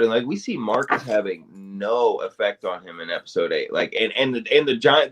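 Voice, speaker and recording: A man in an easygoing podcast conversation, his sentences looping through "like" and "you know" as he thinks aloud. He swears offhandedly and keeps circling back to his point, his voice sitting at an ordinary middle pitch. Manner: rambling, heavy on "like" and "you know"; swears casually. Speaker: podcast co-host. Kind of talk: casual podcast chat.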